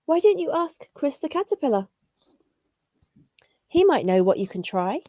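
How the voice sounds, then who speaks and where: Helpful little fellow played by a woman in a storybook: high, soft-toned, neighbourly, sing-song. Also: sing-song, moderate pace, no echo, clean studio sound. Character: helpful male neighbour creature, welcoming, storybook.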